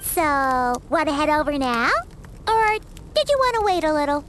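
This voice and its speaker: A woman voicing a perky, chatty, childlike little companion in a video game, informal and excitable. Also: high voice, normal speed, a little hiss or hum. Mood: cheerful, eager. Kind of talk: animated video game voice acting.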